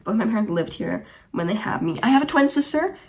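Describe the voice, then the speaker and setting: Young woman, from germany, creaky vocal fry, chatty and rambling, podcast host. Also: medium pitch, animated, brisk, darkish tone, a little hiss or hum. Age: about 20. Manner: rambling, chatty, tangent-prone. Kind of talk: casual chat to camera. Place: small bedroom, indoors.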